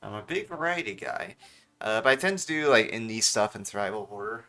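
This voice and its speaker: A man's voice: on the low side, animated, a little fast, vocal fry, sharp tone. A man who streams speedruns, chatting casually on a livestream over a game.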